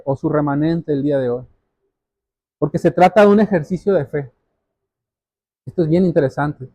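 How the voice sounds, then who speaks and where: A male pastor delivers a sermon in a high, mellow voice. He exhorts his listeners earnestly and directly, circling back to key phrases to stress them. The sound is dry, with very little room around it. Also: no echo. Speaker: pastor preaching to a congregation.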